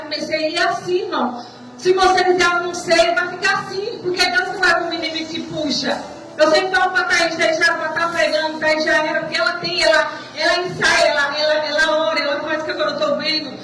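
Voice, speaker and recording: High-pitched Brazilian woman preaching, heavy echo. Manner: earnest, repetitive, stammering delivery. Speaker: church deaconess preaching. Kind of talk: testimony sermon, exhortation. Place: church service indoors, distant microphone. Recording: echoey room, far mic, noisy.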